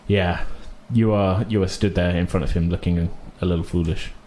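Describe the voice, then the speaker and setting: A man's voice: deep voice, steady tone, a little fast, warm tone. Guy game mastering a tabletop rpg, loose casual narration, roomy sound w a bit of noise. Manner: improvised, hedging narration with "kind of" and "like". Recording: echoey room with faint background noise.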